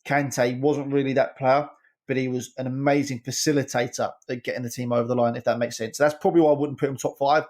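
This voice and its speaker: A man giving his opinions on a casual football podcast, rambling quickly and restarting his sentences. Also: medium pitch, flat delivery, darkish tone. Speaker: podcast regular, head coach segment.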